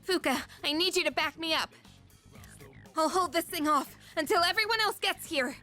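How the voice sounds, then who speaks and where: A woman's voice: very high voice, lively intonation, moderate pace, bright, forceful tone, soft background music, heavily acted. A woman voicing a spirited, protective teenage girl fighter in an anime video game, forthright and emotional. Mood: frustrated, determined.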